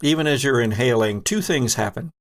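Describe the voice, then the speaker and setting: A man's voice: mid-range voice, lively intonation, fairly quick. A man narrating a tabletop role-playing game as game master, setting scenes with vivid description.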